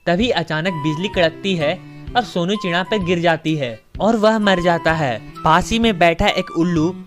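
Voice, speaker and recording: Low-pitched woman narrating a children's story. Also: moderate pace, music in the background.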